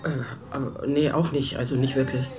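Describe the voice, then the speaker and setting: A woman's voice: rumbling low, fairly even intonation, brisk. An actress playing a wry, reflective woman writer around forty in an audio drama. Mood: flustered, defensive. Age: middle-aged. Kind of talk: literary first-person narration and acted dialogue in an audio drama. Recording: music behind the voice.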